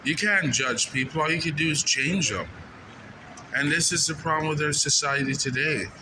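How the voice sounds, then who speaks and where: A man's voice: fairly high pitch, moderate pace. A man doing informal street interviews and commentary in an earnest, repetitive, plain-spoken way. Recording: noisy outdoor street recording with traffic.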